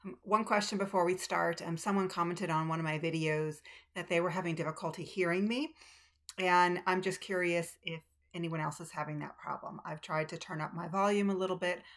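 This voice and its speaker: A woman's voice: on the low side, fairly even intonation, brisk. A woman chatting casually to camera about her cross stitch, rambling with ums and restarts, recorded on a tablet's built-in mic in a room at home. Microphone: tablet's built-in mic, no external microphone.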